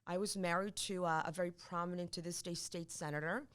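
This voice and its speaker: A middle-aged woman from Poland, whose first language is Polish, speaking as a divorce attorney in an interview, emphatic and quick to repeat herself when excited. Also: on the low side, clean tone. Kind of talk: conversational interview.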